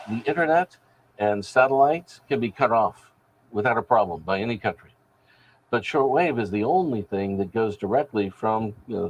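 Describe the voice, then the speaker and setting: Male interviewee, station manager type, low pitch, measured and explanatory, faint location noise. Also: poor audio. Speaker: radio station general manager. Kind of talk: news interview.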